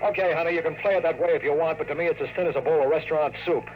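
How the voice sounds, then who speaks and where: A man's voice: a little high, fairly even intonation, very fast, highs cut off, natural voice. An actor playing a wisecracking, world-weary male private investigator who narrates and talks in hardboiled 1950s radio drama style. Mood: pressing, wry. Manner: wry, slangy, sardonic. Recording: old radio broadcast with music.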